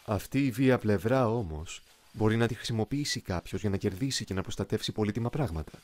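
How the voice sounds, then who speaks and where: A man playing a young, eloquent, coolly ambitious soldier in an anime fan dub, speaking in a formal, measured, philosophical way. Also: on the low side, animated, a little mellow, close to an everyday voice. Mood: grave, philosophical. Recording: music behind the voice.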